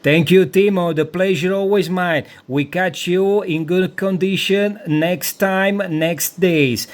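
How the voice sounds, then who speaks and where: A man's voice: high-pitched, takes it slowly. A man with an Italian accent making radio contacts, giving call signs and signal reports and repeating phrases for emphasis. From Italy. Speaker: amateur radio operator.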